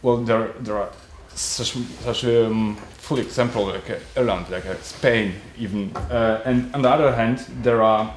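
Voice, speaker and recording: A man's voice: fairly low pitch, moderate pace, hardly any pauses, reverberant, a little hiss or hum. A young man, a law student, politely asking a question at a seminar with frequent hesitations. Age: young adult. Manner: polite, hesitant with many fillers.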